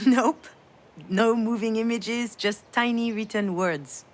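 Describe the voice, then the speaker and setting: A woman's voice: on the high side, fairly even intonation, slow. A woman playing a damaged, grieving mother in a narrative video game, confiding and hesitant as she reflects. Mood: gentle, storytelling.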